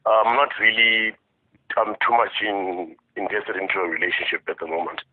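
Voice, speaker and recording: South African male, husky and fairly low, chatting casually on a tinny phone call. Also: level tone, normal speed. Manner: code-switches between English and Zulu. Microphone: telephone line.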